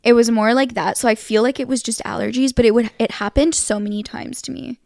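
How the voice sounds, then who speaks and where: A young woman co-hosting a podcast, chatting casually with animated reactions and many fillers. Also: fairly high pitch, brisk, gravelly, no room sound, clean studio sound. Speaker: podcast co-host. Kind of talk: casual chat.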